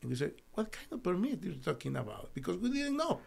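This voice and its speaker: A middle-aged man from El Salvador with a Spanish accent, telling his story as an interview guest in halting, earnest English in a small studio on a desk mic. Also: high-pitched, sing-song, creaky, husky. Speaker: guest, naturopathic doctor. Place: small studio with foam wall panels. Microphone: desk podcast mic.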